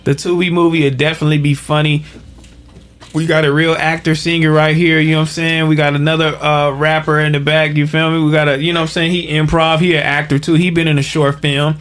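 A man's voice: a little high, flat delivery, fast, fairly bright tone. A man hosting a casual podcast, talking in laid-back, slang-heavy banter. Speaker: podcast host.

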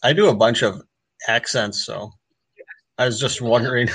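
A man's voice: medium pitch, normal speed, fairly bright tone, breathy edge. A man chatting playfully on a video call, with frequent laughs. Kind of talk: casual video call chat.

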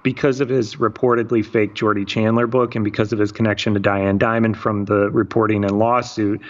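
A man from Michigan hosts and narrates in a measured way that turns blunt at times. His voice sits low. The recording sounds dull and lo-fi. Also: little pitch movement. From Michigan, USA. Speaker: podcast host. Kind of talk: scripted narration mixed with casual chat.

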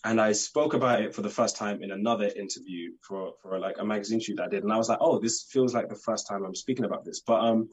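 A man's voice: on the low side, fast, hardly any pauses, breathy edge. A young man with a London accent, chatting eagerly as an actor guest in an interview, leaning on "like" as he talks. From United Kingdom. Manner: chatty, fills talk with "like", eager. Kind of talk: casual interview chat.

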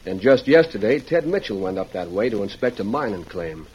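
An actor playing a plainspoken frontier sheriff with a rustic American drawl, in old-time radio Western style. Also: male voice, fairly low pitch, very animated, brisk, a little mellow, husky. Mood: matter-of-fact. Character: Western frontier town sheriff, plainspoken, folksy, steady male lawman. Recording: muffled, noisy vintage radio recording.

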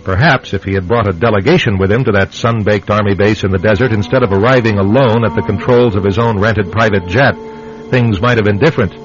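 A man narrating a radio drama from a script in a grave, measured, deliberate manner. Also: lowish voice. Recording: muffled vintage radio, music behind.

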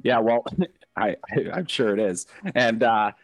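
A man hosting a casual podcast interview, his voice a little off from a cold, relaxed and joking. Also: lowish voice, unhurried, no echo. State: has a cold. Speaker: podcast host.

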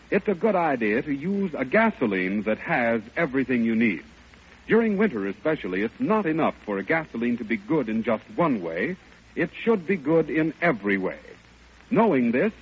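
A man's voice: mid-range voice, husky. An American man working as a radio announcer, reading scripted 1940s advertising with folksy salesmanship. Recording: muffled vintage radio broadcast.

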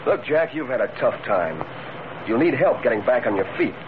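Guy acting a bossy old-radio gangster, smooth talker, pitch all over, tinny noisy sound. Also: big pitch swings, normal speed, natural voice. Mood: smooth, needling. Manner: slangy, needling, bossy. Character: pushy, smooth-talking male crook, a gang leader.

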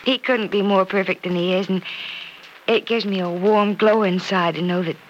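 A woman's voice: medium pitch, fairly even intonation, normal speed, lightly acted. An actress playing an earnest, affectionate young single woman who is careful about love, in old-time radio soap style. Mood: earnest, uncertain. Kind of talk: 1940s-50s radio soap acting. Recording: dull, noisy old radio transcription.

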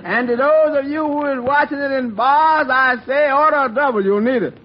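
A man's voice: very high-pitched, a little fast. A man playing an irreverent, mock-formal announcer who mocks his own show in a 1940s radio comedy. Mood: mock-formal, sassy. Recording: dull old radio recording, faint noise.

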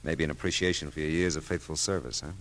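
An American actor playing a wry, world-weary but soft-hearted private detective in a vintage radio drama, clipped and slangy. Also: male voice, very deep voice, fairly even intonation, quick pace, slight background noise. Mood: knowing, gentle. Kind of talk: 1940s-50s American radio detective drama, first-person narration.

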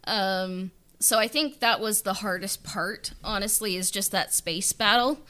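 A woman's voice: medium pitch, unhurried, bright, forceful tone. A woman writer speaking casually and reflectively in an interview, thinking aloud with lots of "like" and "kind of". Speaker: audio drama writer being interviewed.

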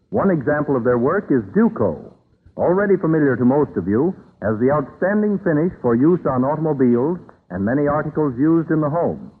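Formal 1930s radio announcer, tinny sound. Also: male voice, fairly high pitch, slightly rough. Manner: formal, declamatory, measured phrasing. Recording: tinny, narrow-band vintage radio recording.

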